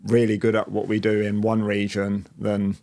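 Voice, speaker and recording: A man's voice: lowish voice, normal speed, vocal fry. A man in his late twenties, a business owner as guest, talking casually with fillers and restarts. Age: late 20s. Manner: relaxed, rambling with fillers and restarts. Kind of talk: casual interview chat.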